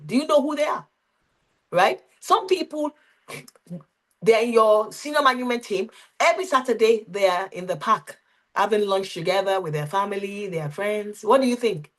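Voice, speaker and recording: A woman facilitating a career workshop on a video call, who keeps checking in with the group and asking questions. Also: medium pitch. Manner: coaching, asks the group frequent questions.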